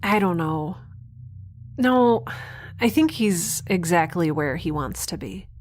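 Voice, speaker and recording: A woman's voice: on the low side, animated, slow pace. A woman playing a defiant, wry young rebel on the run in a science fiction audio drama, chatty and given to sarcastic asides. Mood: relieved, brisk.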